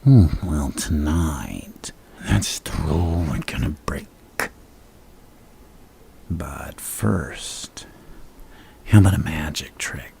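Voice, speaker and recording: Creepy flirty villain for asmr roleplay, super slow gravelly half whisper, teasing and unhinged, bit of hiss behind. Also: male voice, very deep voice, very animated, mellow, full character voice. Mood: intimate, sly. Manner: slow, teasing, drawn-out delivery with murmured hums.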